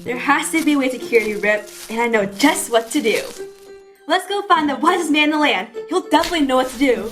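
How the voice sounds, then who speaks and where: High-voiced, determined young adventurer played by a woman. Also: animated, fast, music in the background. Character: Sid, determined clever young problem-solver leading friends on adventure. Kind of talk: audio drama acting.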